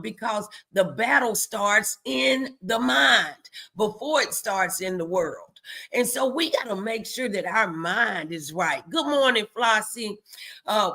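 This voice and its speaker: Female motivational host, faith-based exhortation. Unhurried, bright and forceful, emphatic, talks straight to the viewer. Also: medium pitch. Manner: emphatic, repetitive, addresses viewers directly. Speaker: host of a morning motivation live stream.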